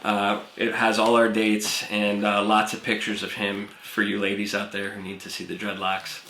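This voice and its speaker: A man's voice: lowish voice, normal speed, a little hiss or hum. A man hosting a music interview series, asking questions and chiming in with short affirmations. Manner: relaxed, affirming listener. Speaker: interview host. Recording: echoey room.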